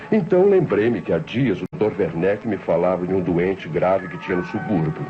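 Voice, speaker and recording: Low-voiced scheming man, old radio. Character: scheming, cold-blooded nephew plotting a murder. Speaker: narrator and protagonist. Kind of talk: 1960s radio crime drama acting. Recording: muffled old radio recording with music.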